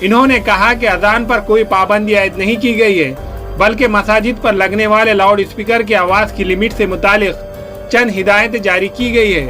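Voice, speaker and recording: A man's voice: sits high, fairly even intonation, moderate pace, bright, forceful tone. A man reading scripted news narration as a voice-over. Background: music bed.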